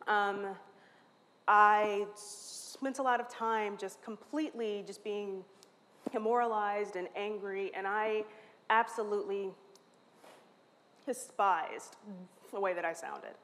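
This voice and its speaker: Woman, 30s, mid pitch, stutters, wry, talking from a stage in a large hall with long echo. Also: slow, long pauses, fairly bright tone. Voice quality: stutters, with repeated sounds and restarts. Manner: candid and self-mocking. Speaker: conference speaker. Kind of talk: lightning talk.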